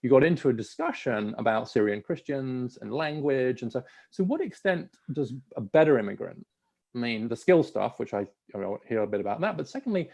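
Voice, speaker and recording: A man interviewing a guest, asking long questions with pauses and repeated words. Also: mid-range voice, lively intonation, creaky, a little mellow. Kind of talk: conversational interview.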